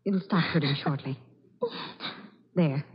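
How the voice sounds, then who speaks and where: An actress playing a brisk, matter-of-fact nurse with a soothing bedside way in a 1940s radio drama. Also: female voice, sits low, slightly rough, heavily acted. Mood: calm, soothing. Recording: dull old radio broadcast.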